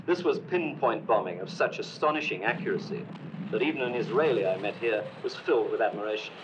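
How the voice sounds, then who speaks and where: A man's voice: on the low side, lively intonation, fairly quick, background noise. A man filing an archival news report as a foreign correspondent, in a formal reporting tone. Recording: dull lo-fi archive broadcast.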